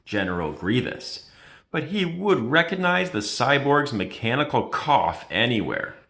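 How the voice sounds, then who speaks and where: Expressive male storybook reader. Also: fairly low pitch. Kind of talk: children's storybook read-aloud.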